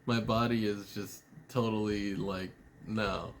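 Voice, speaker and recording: A man giving a casual, rambling video message to a friend, sounding a little tired and out of it, halting and teasingly irreverent, with some swearing. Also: medium pitch, a bit bright, slight background noise. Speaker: spiritual vlogger.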